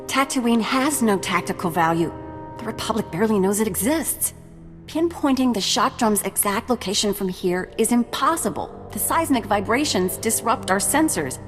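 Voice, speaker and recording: An actress playing an earnest research facility survivor in a video game, explaining things with concern. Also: female voice, medium pitch, expressive, moderate pace, natural voice. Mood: worried. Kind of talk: video game cutscene acting. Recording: long hall reverb, music behind.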